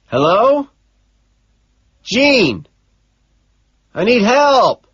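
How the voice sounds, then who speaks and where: A man playing a frantic young man yelling into a phone. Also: high voice, animated, very slow, muffled recording, voice pushed up, exaggerated voice. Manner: shouting, desperate, strained. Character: distraught young man shouting on a payphone.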